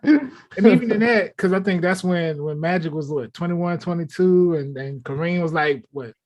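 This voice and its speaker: A man's voice: a little high, moderate pace. A man on a talk show panel, debating sports casually in a matter-of-fact, argumentative way.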